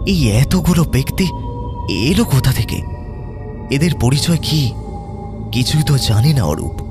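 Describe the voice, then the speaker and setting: একজন পুরুষ কথক, টানটান রহস্যময় ভঙ্গিতে ধীরে ধীরে ভৌতিক গল্প বলেন।. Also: male voice, mid-range voice. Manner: suspenseful, measured. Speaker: narrator. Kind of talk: dramatic horror storytelling. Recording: music behind voice.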